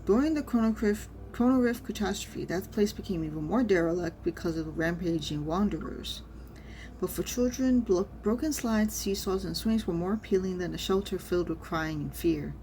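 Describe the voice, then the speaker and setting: A woman streamer reading a game story aloud and reacting casually, in an expressive manner. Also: on the low side, moderate pace, slight background noise, low-quality recording.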